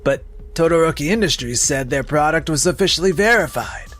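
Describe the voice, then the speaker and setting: A man's voice: fairly high pitch, lively intonation, brisk, a bit bright, soft background music. A man playing an evasive, excuse-making salesman in a scripted manga dub. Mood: defensive. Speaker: sales representative character.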